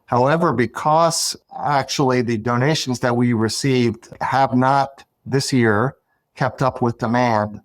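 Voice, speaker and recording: A man appearing as an interview guest, explaining things in a measured way with hesitant fillers. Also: mid-range voice, no room sound. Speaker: guest, foundation executive. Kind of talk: podcast interview.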